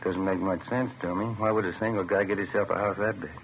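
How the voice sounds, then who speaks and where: Grumbling detective sidekick in old radio drama: low voice, folksy, weary, muffled vintage sound. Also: male voice, fast talker. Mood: low-key. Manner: folksy, deadpan complaining. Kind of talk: 1950s radio crime drama acting. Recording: muffled, faintly hissy vintage radio recording.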